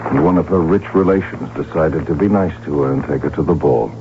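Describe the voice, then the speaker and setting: An actor playing a courtly, smitten crown prince in a radio drama, speaking in a formal, measured, gently wry way. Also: male voice, extremely low pitch, noisy background. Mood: wry, thoughtful. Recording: tinny vintage radio broadcast, music behind.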